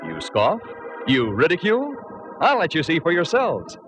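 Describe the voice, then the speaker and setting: Swooping, jaunty American radio announcer. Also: male voice, fairly high pitch, wildly swooping pitch, moderate pace. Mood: jaunty, teasing. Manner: playful, theatrical salesman patter. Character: jaunty, teasing male radio show announcer. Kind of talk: 1940s radio introduction, scripted patter. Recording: dull 1940s radio recording. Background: music.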